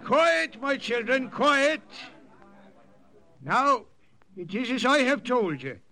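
A man's voice: very high voice, animated, slow, pushed and bright, heavily acted. An actor playing a firm, fatherly priest who leads jungle warriors, commanding in an old-time radio drama style. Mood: urgent, commanding. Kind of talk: 1940s-50s radio acting.

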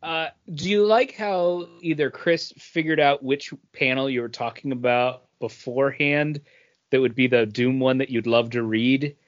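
A man's voice: mid-range voice, steady tone, normal speed, muffled recording. A man co-hosting a podcast with casual, conversational talk. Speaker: podcast host. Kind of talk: casual chat.

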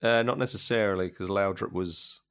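A man's voice: sits low, fairly even intonation, moderate pace, vocal fry, a bit bright, clear, resonant tone. A man chatting casually about football as a podcast panellist, on a noisy recording.

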